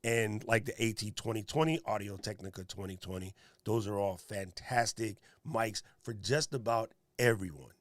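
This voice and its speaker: A man with a deep radio voice, co-hosting a casual tech talk and making his points in an emphatic, punchy way. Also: normal speed, hardly any pauses, no echo. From Hawaii resident. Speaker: streaming show co-host.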